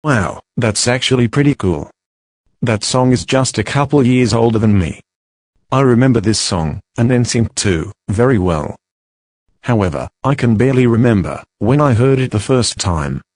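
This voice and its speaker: A man's voice: mid-range voice, animated, moderate pace, darkish tone, no echo. A man in his twenties co-hosting a talk show, chatting casually and sharing his own recollections. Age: 20s. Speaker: co-host. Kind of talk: casual chat, personal recollections.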